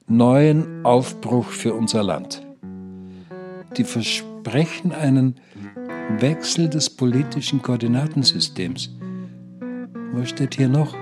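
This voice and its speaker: An actor playing an older care-home pensioner who stubbornly preaches positivity in a declamatory, insistent radio play style. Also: male voice, mid-range voice. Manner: declamatory, insistent, repeats himself. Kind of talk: German radio play acting.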